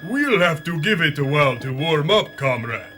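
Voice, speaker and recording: A man's voice: a little high, sing-song, moderate pace, pushed and bright, a little hiss or hum. A man playing a courteous, theatrical foreign villain scientist in a pulp radio serial. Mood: calm, courteous. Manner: theatrical, polite, calling people comrade.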